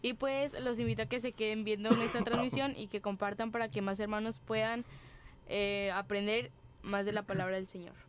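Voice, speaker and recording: A woman's voice: mid-range voice, steady tone, normal speed, heavy background noise. A woman co-hosting a parish program, cheerful and giggling. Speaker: co-host. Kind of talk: casual show hosting.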